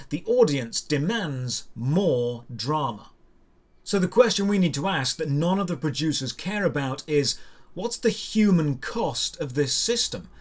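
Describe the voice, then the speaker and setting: A man delivering scripted opinion commentary as a show host, in an emphatic, rhetorical way with deliberate stresses. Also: fairly high pitch.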